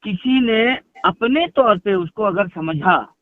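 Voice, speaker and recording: A man's voice: sits high, expressive, slow. A man talking emphatically on a phone call, repeating phrases and posing rhetorical questions as a religious speaker. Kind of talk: phone conversation, explaining and declaring at length. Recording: muffled narrowband phone call recording.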